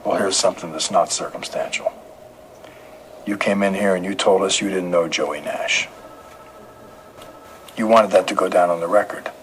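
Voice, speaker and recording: A low male voice of an actor plays an audio drama police detective, calm and relentless. He questions in short, pointed, methodical phrases. There is clear background noise and ambience behind him. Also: normal speed, long pauses, low-quality recording. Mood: firm, confronting.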